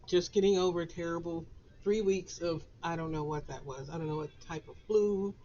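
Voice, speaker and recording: A woman in her sixties with a Southern US accent, raspy after a flu, chatting casually to camera from her home office. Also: fairly low pitch, fairly even intonation, mellow, highs cut off, slight background noise. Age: 60s. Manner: chatty, drawn-out, laughs between phrases. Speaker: author and vlogger.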